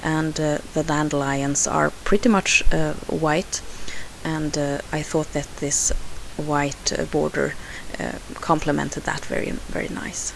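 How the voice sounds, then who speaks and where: Swedish-accented woman, craft voice-over; low, unhurried, halting delivery. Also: flat delivery, gravelly, slight background noise, low-quality recording. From Sweden. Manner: hesitant, unhurried, frequent fillers and restarts. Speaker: scrapbooking crafter.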